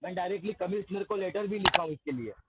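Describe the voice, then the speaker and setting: Assertive high-voiced man on a phone call. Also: fast. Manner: boastful, assertive, challenging. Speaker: activist confronting a loan agent. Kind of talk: argumentative phone conversation. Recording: narrowband phone call, faint noise.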